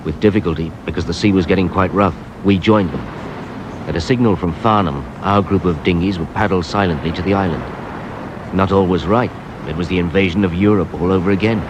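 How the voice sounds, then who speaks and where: Actor as low-voiced, reflective police inspector narrating. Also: male voice, fairly quick, dull audio, lightly acted. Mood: tense, measured. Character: weary, reflective male police inspector narrating his case. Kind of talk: scripted first-person radio drama narration. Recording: noisy radio drama recording, effects behind.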